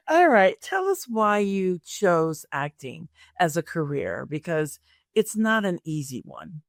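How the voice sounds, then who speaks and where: A woman's voice: on the low side, sing-song, slow, vocal fry, dry recording, studio quality. A woman hosting a career podcast, moving between a prepared introduction and friendly interview chat. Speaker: podcast host and career coach.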